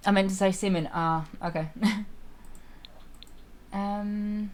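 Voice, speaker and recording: A woman streaming a game, chatting casually with viewers and laughing often, her voice slightly raspy. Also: mid-range voice, steady tone, takes it slowly, a little mellow. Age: adult with a school-age child. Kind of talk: casual live-stream chat while playing.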